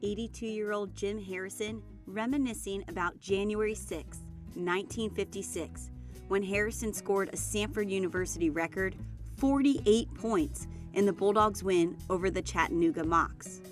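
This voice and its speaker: A woman's voice: medium pitch, normal speed. Female TV sports anchor, music behind. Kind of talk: scripted TV sports news narration. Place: TV broadcast.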